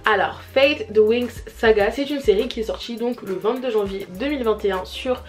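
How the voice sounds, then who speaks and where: A woman's voice: fairly high pitch, moderate pace, faint music behind. Chatty young woman rambling to camera. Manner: chatty, rambling with many fillers and restarts. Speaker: vlogger reviewing series. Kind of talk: casual chat to camera. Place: home, family noises around. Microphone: camera mic.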